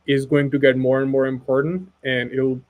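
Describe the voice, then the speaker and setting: A man's voice: mid-range voice, flat delivery, normal speed. Earnest man from Pakistan. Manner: earnest, persuasive, fillers like "right?" and "like". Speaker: expert guest. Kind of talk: casual webinar conversation.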